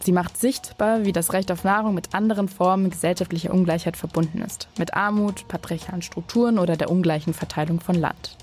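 Low mellow-voiced woman narrator. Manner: measured, even, informative delivery. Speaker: podcast narrator. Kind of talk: scripted narration.

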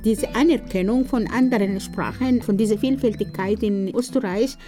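A woman's voice: a little high, moderate pace, music in the background. A woman giving a sincere, earnest personal statement about her radio work. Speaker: radio volunteer.

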